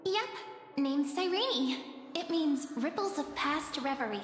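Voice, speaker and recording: A woman voicing a gentle, mysterious and knowing young woman in an anime-style video game, measured and reassuring. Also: high-pitched, animated. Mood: warm, knowing. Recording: echoey reverb over game music.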